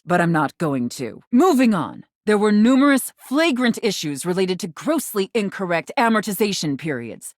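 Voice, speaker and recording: A woman's voice: on the low side, no room sound, clean studio sound. A woman playing a haughty, domineering, condescending mistress in a video game, speaking with formal, imperious, disdainful diction. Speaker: voice actor in a dating simulator game. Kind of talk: theatrical video game character acting.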